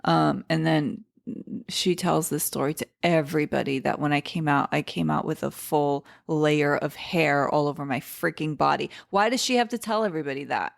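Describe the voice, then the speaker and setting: A woman's voice: low voice, normal speed, vocal fry. A woman in her thirties, born in Iran and raised in Seattle, chatting casually as an interview guest, telling stories with fillers and restarts. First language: Persian. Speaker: stand-up comedian, podcast guest.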